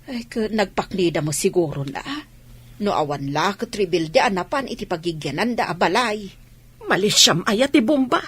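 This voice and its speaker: A woman's voice: mid-range voice, animated, moderate pace, fairly bright tone, breathy edge. An actress with an Ilocano accent playing an anxious older village mother in a radio drama, fretful and plaintive.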